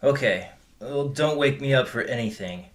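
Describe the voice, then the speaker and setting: Male investigator's partner played by a man: mid-range, measured, understated wit, radio-play delivery. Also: medium pitch, natural voice. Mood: exhausted, wry. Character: weary, witty man, partner in amateur investigation. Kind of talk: audio drama acting.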